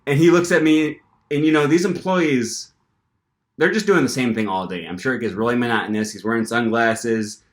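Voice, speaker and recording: A young man vlogging casually, telling an animated story with lots of fillers and restarts. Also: mid-range voice, normal speed. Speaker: language-learning vlogger.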